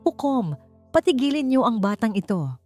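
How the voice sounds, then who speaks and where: A woman's voice: a little high, very animated, normal speed, a little mellow, faint music behind, pitched above the performer's own voice. A woman voicing a furious, domineering rich male villain in an audiobook drama, angry and commanding. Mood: outraged.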